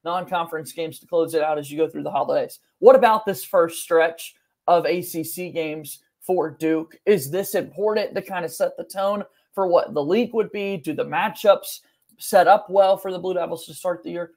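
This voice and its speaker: A man's voice: high-pitched, normal speed, a little mellow. A young man hosting a sports podcast, speaking from a small room at home. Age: young adult. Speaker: podcast host.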